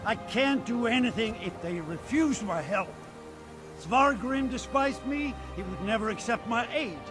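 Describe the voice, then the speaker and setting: A man voicing a proud, weary king in a video game, talking bluntly and gruffly over game music. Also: extremely high pitch, lively intonation, unhurried. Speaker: visiting king.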